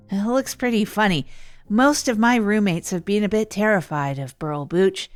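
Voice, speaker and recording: A woman's voice: medium pitch, lightly acted. A woman playing an upbeat, awkward, down-on-their-luck local radio host in an improvised comedy audio drama, chatting informally and hesitantly. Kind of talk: improvised comic monologue, chatty radio storytelling.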